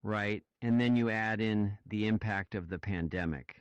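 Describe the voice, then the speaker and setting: A man's voice: fairly low pitch, moderate pace, smooth, dry recording. A man in a casual podcast discussion, speaking emphatically and in a questioning way, on a slightly muffled recording. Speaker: podcast panelist.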